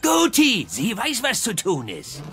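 An actor playing a blunt, crusty Viking village healer in an audio drama, with a gruff, dramatic delivery. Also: male voice, high-pitched, wildly swooping pitch, a little slow, bright, forceful tone, faint music behind, heavily acted. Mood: dismissive.

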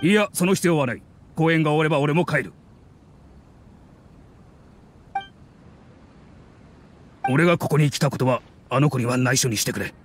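A man's voice: on the high side, a little hiss or hum, lightly acted. Stern, reserved middle-aged scholar father, acted by a man. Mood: firm. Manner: stiff, measured, restrained. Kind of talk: Japanese anime game dub.

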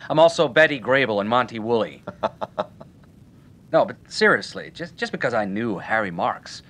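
A man's voice: medium pitch, sing-song, moderate pace, fairly bright tone. A man playing a breezy, slangy nightclub owner in an old-time radio detective drama, with a wry, colloquial way of talking. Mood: frank.

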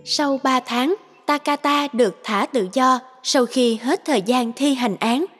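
High-voiced woman narrating a story. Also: a little slow, husky, soft background music.

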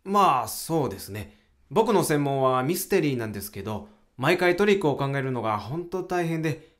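A man acts out an earnest young aspiring writer in a radio drama. His voice sits high and leaps around in pitch. He is excitable, flustered and quick to complain. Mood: exasperated. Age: young adult. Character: earnest, easily flustered young male aspiring mystery novelist.

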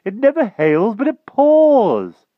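A man voicing a flustered, excitable, old-fashioned gentleman king in a storybook, politely exclaiming with posh verbal tics. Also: medium pitch, measured pace, no echo, narrow, tinny audio, voice pushed up. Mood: astonished.

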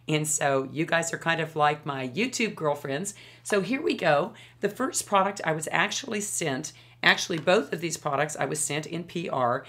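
Hoarse, low-voiced woman in her fifties. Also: a bit bright. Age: 50s. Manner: chatty and enthusiastic, gestures with her hands. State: hoarse, losing her voice from a cold. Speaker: beauty vlogger. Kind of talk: casual product review to camera. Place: home studio, indoors.

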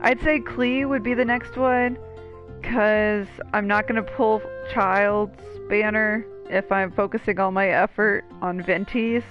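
A woman's voice: medium pitch, steady tone, unhurried, highs cut off. A woman streamer giving casual, joking commentary as she plays a game. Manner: joking, chatty. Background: game music.

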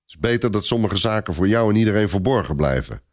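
A man's voice: on the low side, animated, fast, dry recording, clean studio sound, natural voice. A man voicing a curt, surly, secretive medieval army commander in a radio story. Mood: stern.

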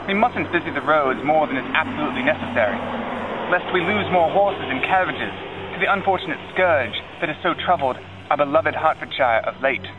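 A man reading an audiobook and voicing a dry, sarcastic older country gentleman with a stern, sardonic manner. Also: on the high side, expressive, fairly quick, natural voice. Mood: curt. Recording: lo-fi with music behind.

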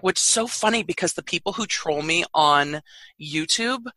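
A woman in her thirties with a low voice, telling her story openly and frankly as a podcast guest. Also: normal speed, no echo. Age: 30s. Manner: open, frank, lively. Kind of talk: candid conversational storytelling.

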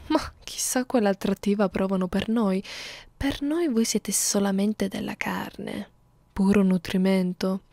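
A playful, menacing young vampire acted out by a woman in an intimate ASMR roleplay. She drifts between whispers and soft speech at an unhurried pace, haughty and condescending toward her prey. The sound is close and very dry. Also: mid-range voice, dry recording, lightly acted. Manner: slow, teasing, condescending, menacing. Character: young female vampire, 342 years old, playful, predatory, haughty. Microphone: close ASMR microphone.

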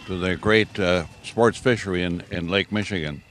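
A man's voice: low voice, moderate pace, background noise. A man interviewing a staff member outdoors, brief and appreciative, a little off the mic.

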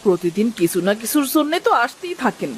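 Woman voicing a scornful, animated village neighbour. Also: on the high side, fast, a little hiss or hum. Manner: sharp-tongued, scolding. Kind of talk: Bengali moral-story cartoon dubbing.

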